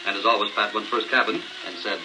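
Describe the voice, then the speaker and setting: A man's voice: on the low side, steady tone, fast talker. A man narrating a scripted comic story on a novelty record in a theatrical, folksy way. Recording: noisy crackling 78 rpm record.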